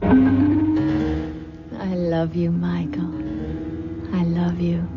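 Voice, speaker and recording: An actress playing an exhausted, frightened young pregnant wife in a radio drama, speaking in halting, trailing phrases. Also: female voice, on the low side, animated, over loud music, heavily acted. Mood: drowsy relief. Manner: halting, weary, breathy delivery with trailing phrases. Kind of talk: 1970s radio drama acting. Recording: dull, noisy old radio recording.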